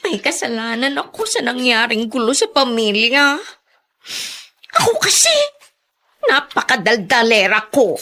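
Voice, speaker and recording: A woman's voice: on the high side, sing-song, measured pace, pauses often, sharp tone, full character voice. A female actor playing a guilt-ridden, talkative woman in a Filipino radio drama, speaking tearfully. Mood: ashamed, tearful.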